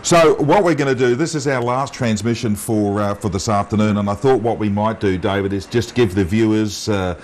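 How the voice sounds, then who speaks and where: An Australian man presenting an unscripted amateur TV walk-through, halting often with ums and uhs. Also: fairly low pitch, a little mellow. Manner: halting, with long um and uh pauses. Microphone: wireless mic pack. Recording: noisy amateur TV transmission audio.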